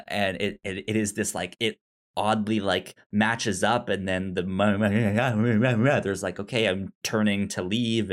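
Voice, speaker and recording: Man chatting casually with halting phrasing. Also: lowish voice. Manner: halting, repeats words while thinking. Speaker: podcast co-host reviewing films. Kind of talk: casual conversational film discussion.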